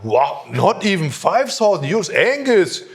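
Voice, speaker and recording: A middle-aged man plays teleshopping host with theatrical amazement. His fairly high voice swings widely in pitch, and he takes his time, stressing his words emphatically like a salesman. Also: big pitch swings, unhurried. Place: hi-fi showroom.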